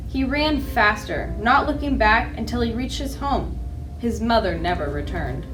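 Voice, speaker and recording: A woman reading children's storybooks aloud as a library storyteller, with an expressive delivery. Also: on the high side, unhurried, poor audio.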